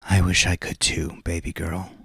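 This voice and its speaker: A man's voice: low voice, flat delivery, moderate pace, a bit bright, husky, no room sound, clean studio sound, full character voice. A man voicing a tender, weary woman who humors her young niece, in an audiobook story. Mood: tender, regretful.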